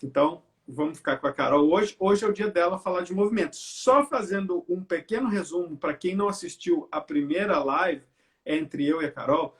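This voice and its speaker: A man from Belo Horizonte hosts a casual live video chat and keeps things loose and conversational. His voice sits noticeably high for a man. It comes through a video call from his home. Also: a little fast. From Belo Horizonte, Brazil. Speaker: live stream host. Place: at home by a window.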